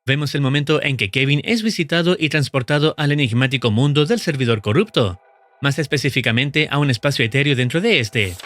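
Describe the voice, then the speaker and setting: A man narrating in a steady, measured scripted voice-over, explaining the plot of a horror video series. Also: mid-range voice, no room sound. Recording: voice-over with faint music behind.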